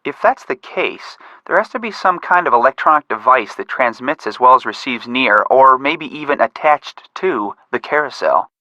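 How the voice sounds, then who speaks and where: A man's voice: mid-range voice, expressive, moderate pace, few pauses, pushed and bright, no room sound, dull audio. A man playing a sensible, cautious young detective in a video game, with a level-headed, precise delivery. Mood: matter-of-fact. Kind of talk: scripted game voice acting.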